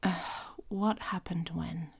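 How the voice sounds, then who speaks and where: A haughty she-wolf, acted by a woman in an ASMR audio drama. She speaks slowly in a low voice with a gravelly creak, teasing and sarcastic, and often sinks to a whisper as she gives orders to her reluctant mate. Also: steady tone, exaggerated voice. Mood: scornful. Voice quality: husky, breathy. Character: haughty, domineering female werewolf, reluctant mate, mocking and gruff. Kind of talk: intimate ASMR audio roleplay.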